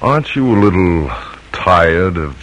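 An actor playing a plain-spoken, determined American silversmith in an old-time radio drama. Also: male voice, low voice, expressive, fairly bright tone, a little hiss or hum, low-quality recording. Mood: quiet resolve. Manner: plain-spoken, deliberate, resolute. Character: brisk, determined American silversmith and patriot, stubborn father. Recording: vintage radio recording.

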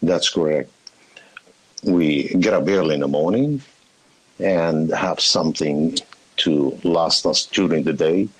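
Middle-aged man from Palestine, podcast guest; deep, slow, hesitant delivery. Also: slightly rough, rough recording. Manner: slow, hesitant, many fillers, laughs often. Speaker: guest, shop owner. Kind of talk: podcast interview.

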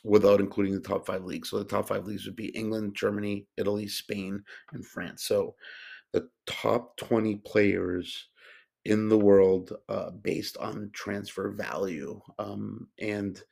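A man's voice: on the low side, little pitch movement, a little slow, gravelly, darkish tone, slightly rough. A man hosting a solo podcast, talking casually and rambling with lots of fillers and restarts. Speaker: podcast host.

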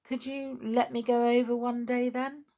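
A woman's voice: a little high, flat delivery, normal speed, warm tone, pitched above the performer's own voice, close to an everyday voice. A woman voicing a polite, inquisitive, secretive boy in a storybook reading.

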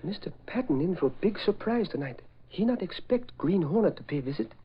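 An eager, loyal male sidekick from an old radio serial. The voice is high and swooping, in broken English. The recording is muffled and a bit noisy. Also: very animated, a little fast. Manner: broken English, dropped articles and verbs. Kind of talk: 1940s radio drama acting.

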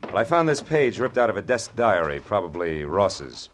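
An actor playing a wry, terse, cool-headed hard-boiled private detective who narrates a 1940s radio noir. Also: male voice, fairly low pitch, very animated, normal speed, slight background noise. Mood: brisk. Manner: terse, wisecracking.

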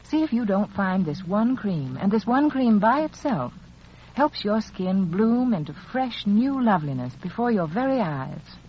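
A woman's voice: mid-range voice, very animated, a little slow, muffled recording. A woman announcer reading scripted 1940s radio advertising in a persuasive, carefully phrased storytelling manner. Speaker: commercial announcer for a face cream. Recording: band-limited old radio recording, faint noise.

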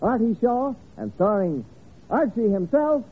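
High-pitched American vintage radio announcer, man. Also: big pitch swings, unhurried, slightly rough. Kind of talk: 1940s radio show announcing. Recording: thin, phone-like vintage radio recording.

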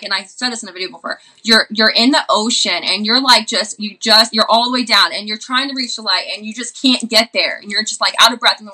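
A woman speaks with a strikingly bright, sharp voice, pitched a little high. She shares a personal testimony of faith in a casual style. Her delivery is earnest and impassioned, with words and phrases often repeated. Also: poor audio.

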